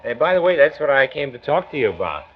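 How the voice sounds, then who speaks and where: A man's voice: medium pitch, very animated, fast, slightly rough. An actor playing an earnest, kindly male county health doctor who explains and persuades in a 1940s radio drama. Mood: persuasive. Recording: muffled old 1940s radio recording.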